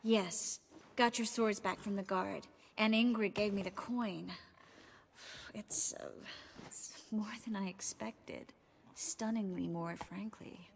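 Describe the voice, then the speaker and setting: An actress playing an earnest young sorceress and weary resistance leader in a dramatic video game. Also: female voice, medium pitch, steady tone, slow pace, creaky, in a large hall, slight background noise. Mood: relieved, modest.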